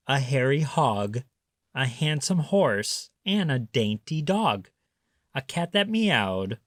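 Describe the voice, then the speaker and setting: Children's read-aloud narrator: adult, unhurried, very lively intonation, measured playful phrasing. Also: medium pitch, no echo, clean studio sound. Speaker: storybook reader.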